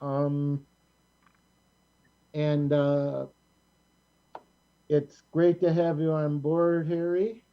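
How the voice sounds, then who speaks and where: Slow, halting middle-aged American man hosting. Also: on the high side, little pitch movement, smooth, lo-fi sound. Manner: rambling, halting. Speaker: host of a community show. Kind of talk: casual hosting chat.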